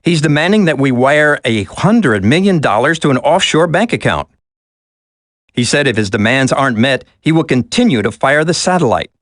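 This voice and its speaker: An earnest male aide in a video game cutscene. He reports formally and deferentially in a mid-range, animated voice with a dry, close sound. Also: medium pitch, moderate pace, dry recording. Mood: concerned report. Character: earnest male government aide, respectful and concerned.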